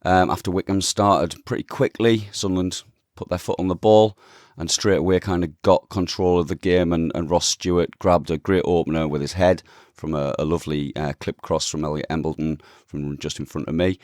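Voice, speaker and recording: A man hosting a football podcast, hoarse this morning, recapping the match in a chatty, enthusiastic way. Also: sits low, fairly even intonation, normal speed, hardly any pauses, darkish tone, no echo, clean studio sound. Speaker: podcast host. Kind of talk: casual football podcast chat.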